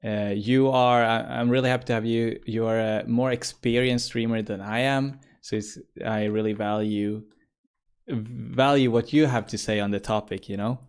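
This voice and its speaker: A man hosting a podcast, welcoming his guest in a friendly way, often pausing with fillers and repeating words as he goes. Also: medium pitch, fairly even intonation, smooth. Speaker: podcast host. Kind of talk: casual interview hosting.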